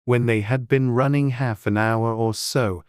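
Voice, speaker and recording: A man narrating a classic children's story in a gentle, even storytelling manner. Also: medium pitch, measured pace, clear, resonant tone, dry recording, clean studio sound.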